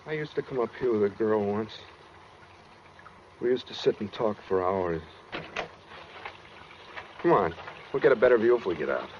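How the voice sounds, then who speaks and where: An actor plays an escaped American madman in a 1940s radio suspense play. His voice is fairly low yet swings widely in pitch as he talks with brooding, matter-of-fact menace. A faint hiss of noise sits on the old recording. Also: animated, moderate pace, pauses often, lo-fi sound, close to an everyday voice. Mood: eerily casual. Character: brooding escaped asylum killer, coldly calm, menacing man.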